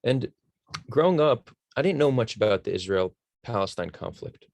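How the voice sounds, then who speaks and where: An American man from New Jersey speaking as a guest, telling his personal story in a measured, reflective, conversational way. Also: mid-range voice, animated, creaky, a little mellow, dry recording. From New Jersey, United States. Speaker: guest speaker, initiative founder.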